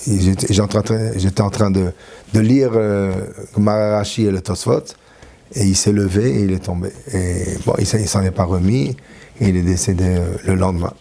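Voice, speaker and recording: Older French rabbi, 60s, dark gravelly tone, hesitant reminiscing interview delivery. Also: male voice, deep voice, steady tone. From France. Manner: halting, with many fillers and restarts.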